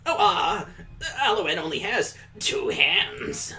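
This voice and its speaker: A game streamer voicing a timid young bakery woman who stammers in halting, stilted speech for a visual novel. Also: mid-range voice, expressive, bright, forceful tone, full character voice. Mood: overwhelmed.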